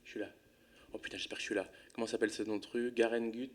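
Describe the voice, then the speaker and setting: A man's voice: fairly low pitch, steady tone, racing. A man commentating his own play of a geography game live, thinking aloud in a casual, muttering way with some swearing.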